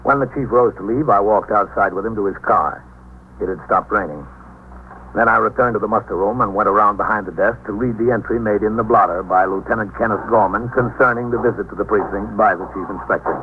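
Muffled old-radio American police captain, measured man acting. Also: lowish voice, close to an everyday voice. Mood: matter-of-fact. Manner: measured, matter-of-fact. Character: steady, authoritative male police precinct captain. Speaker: narrator and lead character. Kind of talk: 1950s radio police drama narration. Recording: muffled, noisy 1950s radio recording.

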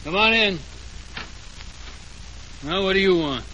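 An impatient American police sergeant in a vintage radio mystery. His voice is hard-edged and a little high, barking slangy orders. The old recording carries faint noise. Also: slow, pushed and bright, full character voice. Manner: gruff, slangy, bossy. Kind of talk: 1940s radio detective drama acting. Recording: noisy old radio transcription.

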